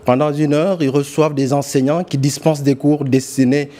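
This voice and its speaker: A man's voice: fairly high pitch, little pitch movement, normal speed, dark tone. A man presenting news reports in a semi-scripted broadcast style. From Senegal.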